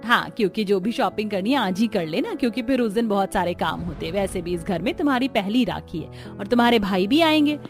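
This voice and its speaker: A woman's voice: mid-range voice, animated, brisk, few pauses, lightly acted. A woman playing a caring, practical mother-in-law in a cartoon family story, motherly and instructing. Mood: kindly, instructing. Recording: music bed behind the voice.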